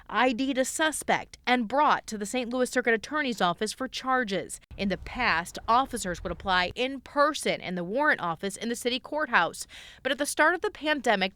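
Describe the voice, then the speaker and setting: A woman's voice: a little high, a bit bright, dry recording. A woman with an American accent reads scripted investigative news narration as a TV reporter.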